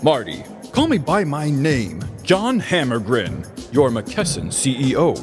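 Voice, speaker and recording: A man's voice: mid-range voice, huge pitch swings, measured pace, music in the background, voice pushed up. A man playing a defiant, theatrical warehouse worker posing as a CEO in a comedic audio story. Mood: smug menace.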